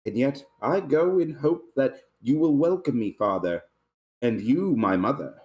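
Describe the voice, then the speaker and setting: Fallen lord , male reader: pompous, self-pitying, lofty declamation at an unhurried pace, mid-range voice. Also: medium pitch, expressive, darkish tone, natural voice. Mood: mournful, grandiose. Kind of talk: amateur script table read.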